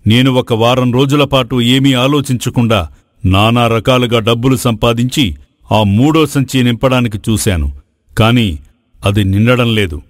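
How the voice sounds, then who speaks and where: A kindly, wise king played by a man talks in a medium-pitched male voice. He explains with fatherly authority in moral-story style. The sound is dry and close. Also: no room sound, lightly acted. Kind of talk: Telugu moral story voice acting.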